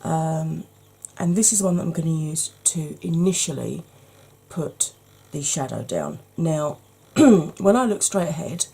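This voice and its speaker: A woman with a low, gravelly voice talks through a makeup tutorial, hoarse from hay fever. Her tone is mellow and husky, and she chats casually to the viewer, with plenty of ums along the way. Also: expressive, a little slow. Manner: chatty, addresses viewer directly, frequent ums.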